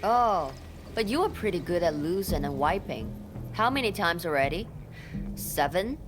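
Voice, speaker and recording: A woman's voice: on the low side, lively intonation, normal speed, natural voice. A woman voicing a curt young woman officer in a game, dry, teasing and no-nonsense. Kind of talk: anime game voice acting. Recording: game music behind.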